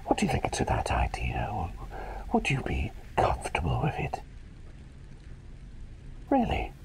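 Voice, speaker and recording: Man as a whispering, creaky eccentric plague doctor. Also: a bass voice, huge pitch swings, unhurried, full character voice, rough recording. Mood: chatty, pleased. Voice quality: breathy whisper. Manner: playful, rambling, softly reassuring. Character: eccentric whimsical plague doctor, chatty, attentive, male. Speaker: ASMR performer. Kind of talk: whispered ASMR roleplay, personal attention.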